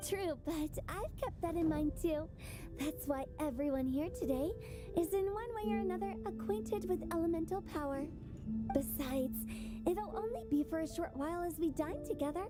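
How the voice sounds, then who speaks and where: A woman's voice: very high voice, moderate pace. A woman voicing a playful, confident young hostess in a video game, breezy and teasing, with music behind her. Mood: playful, assured.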